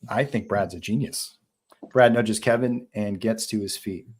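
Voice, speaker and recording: A man with a fairly low voice narrates a screenplay at a live read, giving scene directions between lines of dialogue. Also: measured pace, slightly rough. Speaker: narrator reading stage directions.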